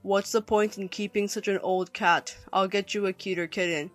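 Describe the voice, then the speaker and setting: A woman voicing a callous, defensive older husband with a gruff, dismissive manner in an audio story. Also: medium pitch, steady tone, moderate pace, soft background music, close to an everyday voice. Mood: callous, smug. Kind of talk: audio story character acting.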